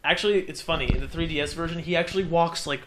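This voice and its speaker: A man giving casual, animated commentary as he plays a video game with a friend, joking and slipping into mock voices. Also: a little high, fast, noisy background. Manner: animated, joking, breaks into mock voices and sung sound effects. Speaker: let's play host and player. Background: video game music.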